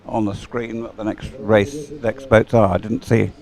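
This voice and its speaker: A man giving live sports commentary on boat racing, speaking in a hesitant, unhurried, explanatory way. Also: fairly low pitch, level tone, a little mellow. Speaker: race commentator.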